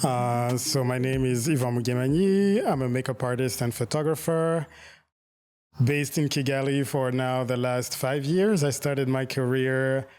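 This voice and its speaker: A man's voice: mid-range voice, unhurried, a little mellow, clear, resonant tone, soft background music. A man in his thirties, born in Rwanda and raised in Belgium, talking as a guest in a casual interview. He talks in a reflective way, with many fillers and restarts. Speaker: guest, makeup artist and photographer.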